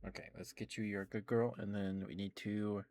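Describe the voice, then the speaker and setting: A man speaking softly and breathily as an ASMR streamer, close to the microphone, murmuring gentle affirmations to his viewers. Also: lowish voice, steady tone. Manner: soft, breathy whispering with slow, repeated affirmations. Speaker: ASMR livestreamer. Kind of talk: ASMR, intimate rambling to viewers.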